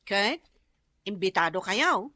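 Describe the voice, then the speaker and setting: A woman's voice: mid-range voice, very animated, takes it slowly, pushed and bright, dry recording, exaggerated voice. A woman playing a bossy, chatty village wife in an Ilocano comedy radio drama, emphatic and scolding, with an Ilocano accent. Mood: nagging.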